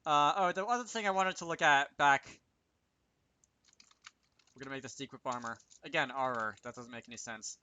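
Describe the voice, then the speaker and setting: A man with a bright, crisp-edged voice talks through a coding tutorial in a casual way. He thinks aloud, mutters to himself and corrects himself as he goes. The sound is close and dry, with little room around the voice. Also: medium pitch, moderate pace, pauses often, dry recording, dull audio. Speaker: host of a game programming tutorial.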